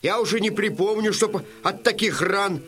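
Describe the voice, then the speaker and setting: An actor playing a doubtful, gossiping Cossack comrade in a radio drama, telling things in a deliberate, theatrical way. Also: male voice, high-pitched, fairly bright tone, breathy edge. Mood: marvelling.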